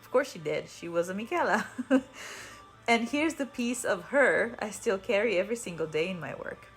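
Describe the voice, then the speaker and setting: A woman with an Italian accent hosts a podcast, telling personal stories casually. She is chatty and gently self-deprecating, a native Italian speaker in English, with her voice at a middling pitch. Faint music plays behind her. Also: expressive, moderate pace. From Italy. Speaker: podcast host.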